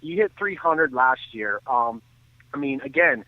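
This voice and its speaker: A man giving earnest, detailed off-the-cuff baseball commentary as a radio guest, often halting and restarting his sentences. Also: mid-range voice, lively intonation, no echo. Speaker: baseball analyst and guest on a sports radio show. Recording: thin phone-like line.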